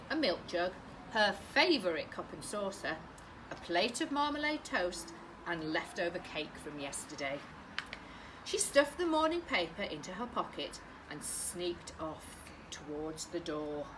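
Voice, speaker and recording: A British woman, a primary teacher, reading a picture book to young children with expressive, carefully phrased delivery. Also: on the low side, a little slow, background noise.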